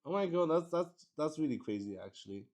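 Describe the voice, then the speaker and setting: A man's voice: a little high, expressive, brisk, a little mellow. A man hosting a game in casual improvised chat, thinking aloud and swearing with exclamations. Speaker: solo roleplay player and host.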